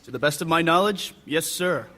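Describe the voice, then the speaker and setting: A man's voice: on the high side, moderate pace, bright, forceful tone. An actor playing a young male lieutenant giving stiff, deferential testimony in an audio drama. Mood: formal. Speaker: military witness.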